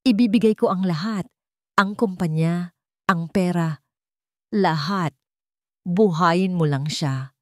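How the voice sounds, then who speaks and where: A woman's voice: lowish voice, slow, pauses often, a little mellow, no echo, clean studio sound. A woman voicing a desperate, anguished young man in an audio drama, pleading dramatically. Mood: desperate commanding.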